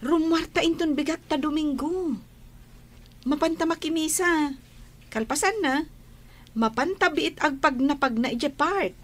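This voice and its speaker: A woman's voice: sits high, unhurried. An Ilocano Filipino woman playing a caring, candid young village woman in a radio drama. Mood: friendly, shy.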